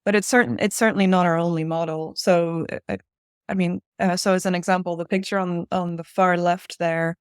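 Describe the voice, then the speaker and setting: A woman's voice: lowish voice, steady tone, a little fast, clear, resonant tone, no room sound, studio quality. A woman in her forties from Spain, giving conversational answers as an interview guest. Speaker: interview guest, director at a design-build firm.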